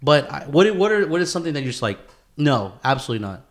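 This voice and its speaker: A man's voice: a little high, sing-song, fast talker. A man co-hosting a podcast in casual banter, with slangy, profane, informal talk. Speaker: podcast co-host.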